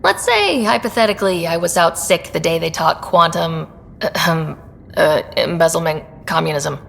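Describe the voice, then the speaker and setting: A young female space explorer from a video game, wry and curious. Her casual voice is lowish with a gravelly creak, and it rings in a long reverb. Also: lively intonation, moderate pace, fairly bright tone. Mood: wry, joking. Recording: long reverb, low rumbling noise.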